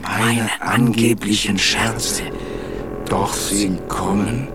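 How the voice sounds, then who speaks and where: An actor playing a deadpan reciter of aphorisms and silly rhymes in an experimental radio play, with measured delivery. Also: male voice, on the low side. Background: loud music.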